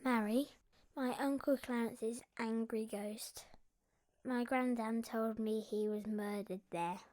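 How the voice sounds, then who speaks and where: A woman's voice: a little high, steady tone, slow pace, darkish tone. A woman playing a forward, uneasy little boy duke in Shakespearean audio drama.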